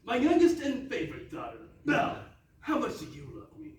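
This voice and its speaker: A man's voice: very high voice, sing-song, brisk. Needy middle-aged king played by a man for comic stage, melodramatic and sulky, heard from off-mic with faint noise. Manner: melodramatic, wheedling. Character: needy, insecure, petulant middle-aged fairy-tale king. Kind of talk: comic stage readers theatre. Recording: roomy, distant stage recording, faint noise.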